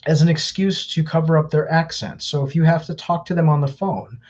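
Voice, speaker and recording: Guy hosting a live q&a, breathy voice, earnest advice, kinda muffled audio. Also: on the high side, steady tone, brisk, a little mellow. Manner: earnest, advising, repeats words often. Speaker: host answering viewer questions. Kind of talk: live Q&A, casual explaining.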